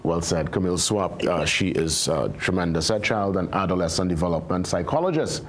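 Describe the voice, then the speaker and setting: A man's voice: low voice, animated, brisk. A male morning show host, talking casually with lots of fillers. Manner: easygoing, filler-heavy wrap-ups. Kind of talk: live TV talk show hosting.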